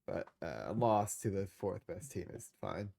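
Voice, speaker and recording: A man's voice: deep voice, sing-song, a little slow, gravelly, a little mellow. A young man with a congested voice, casually narrating a football management game he is streaming, with laid-back, trailing asides. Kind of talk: casual gameplay commentary.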